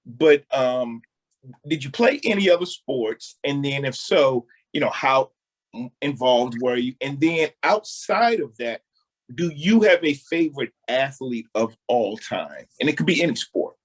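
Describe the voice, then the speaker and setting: A man co-hosting a sports podcast who asks questions haltingly, with many restarts. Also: mid-range voice, lively intonation, poor audio. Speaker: podcast co-host. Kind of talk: casual podcast interview.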